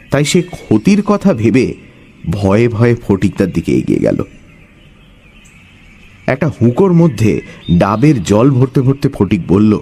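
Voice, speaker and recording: A man telling a story as a dramatic, scripted narration in a husky voice. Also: mid-range voice, warm tone.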